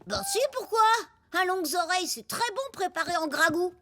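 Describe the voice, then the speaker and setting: Very high-pitched brash little caveboy. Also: female voice, fairly quick, fairly bright tone, slight background noise. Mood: earnest. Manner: boisterous, exclaiming in mock-caveman oaths. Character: brash, food-loving prehistoric caveboy in a modern family. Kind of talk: French cartoon dubbing.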